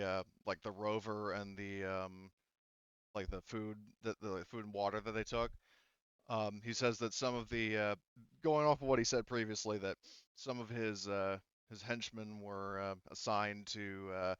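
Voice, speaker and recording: A man narrating a tabletop roleplaying game as game master, talking haltingly as he thinks aloud. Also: lowish voice, a bit bright, smooth, dry recording. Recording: dull, lo-fi, dry close sound.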